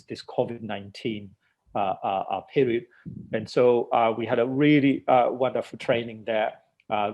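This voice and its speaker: A man's voice: fairly low pitch, a little mellow. A Tanzanian man whose first language is Swahili, a journalist answering interview questions with many fillers and restarts. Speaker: journalist guest.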